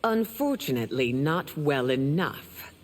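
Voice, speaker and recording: A woman's voice: sits low, very animated, a little slow, heavily acted. A woman playing a proud, stern empress in a fantasy video game, speaking in a regal and formal manner. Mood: stern, haughty. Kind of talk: video game dramatic acting. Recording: long hall reverb, music behind.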